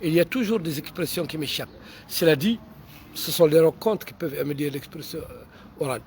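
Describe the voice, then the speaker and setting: A Mauritanian writer with an accent, French not his first language, answering audience questions in a halting way with many fillers and restarts. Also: a little high, expressive, a little mellow, a little hiss or hum. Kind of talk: unscripted answers to online questions.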